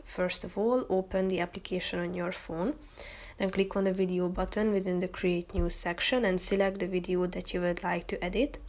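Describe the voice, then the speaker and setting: A woman hosting a how-to tutorial, narrating step-by-step instructions as a voice-over. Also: fairly low pitch. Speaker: tutorial host. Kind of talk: instructional screen-recording voice-over.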